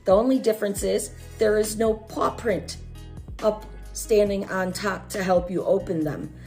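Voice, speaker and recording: A woman's voice: medium pitch, mellow. Middle aged american lady doing casual unboxing chat, voice going from a cold, faint music behind. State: losing voice with a chest cold. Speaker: unboxing vlog host. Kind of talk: casual product review chat. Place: small room at home, at a table.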